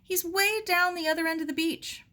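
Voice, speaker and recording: A woman plays a young storybook girl, a wistful and reassuring friend. The voice is raised in pitch, with an earnest, plain-spoken way of talking. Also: steady tone, normal speed, pushed and bright. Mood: matter-of-fact. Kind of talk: storybook character dialogue.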